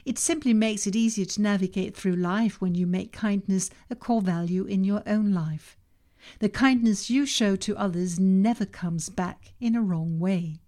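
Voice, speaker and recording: A woman whose first language is Danish, reading her own self-help podcast in a calm, measured way with slow emphasis on key words. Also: mid-range voice. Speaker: podcast host and author. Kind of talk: scripted self-help narration.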